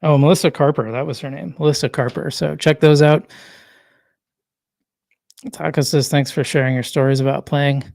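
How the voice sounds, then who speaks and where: A man hosting a casual solo livestream, rambling and correcting himself as he reads chat comments, his voice worn and going hoarse. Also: on the high side, steady tone, moderate pace, pauses often, darkish tone, dry recording, studio quality. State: losing his voice. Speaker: livestream host.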